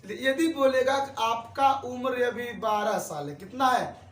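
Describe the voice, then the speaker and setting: A man's voice: extremely high pitch, a little slow, a bit bright, roomy sound, recorded from a distance. A man in his twenties teaching math, who repeats each step and asks his students questions. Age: 20s. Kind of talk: classroom-style lesson.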